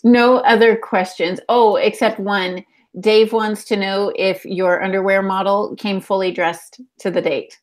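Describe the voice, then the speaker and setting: A woman co-hosts a podcast in a casual, conversational style. Her voice is of middling pitch. She talks in a chatty, effusive way. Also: steady tone, measured pace. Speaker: podcast co-host.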